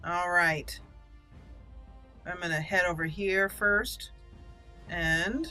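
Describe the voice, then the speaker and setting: A woman hosting a roleplay game commentary, excitable and exclaiming, reading some lines in character. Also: fairly low pitch, takes it slowly, faint music behind. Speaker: gaming channel host.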